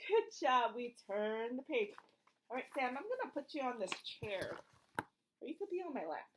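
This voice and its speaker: High-pitched woman reading to young children. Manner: encouraging, sing-song, asks questions and praises listeners like a preschool teacher. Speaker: children's read-aloud host, teacher-like. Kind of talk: interactive picture-book read-aloud for young children.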